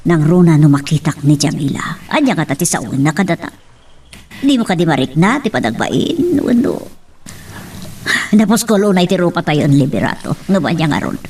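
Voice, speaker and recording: A woman's voice: sits low, big pitch swings, a little fast, darkish tone, breathy edge, full character voice. A woman playing a worried, doubtful middle-aged mother in an Ilocano family drama. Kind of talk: Ilocano radio-style drama acting. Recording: distant from the mic.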